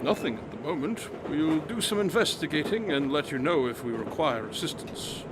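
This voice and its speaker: Man playing a brisk detective over vehicle noise. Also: on the high side, lively intonation, close to an everyday voice. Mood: self-assured. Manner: brisk, commanding, formal. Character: Sherlock Holmes's grandson, brisk self-assured consulting detective. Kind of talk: radio drama acting.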